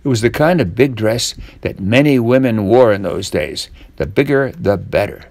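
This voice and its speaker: An older man reads a tall tale as a bedtime story, expressive and deliberate, drawing out key words. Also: fairly low pitch, dry recording. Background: guitar music.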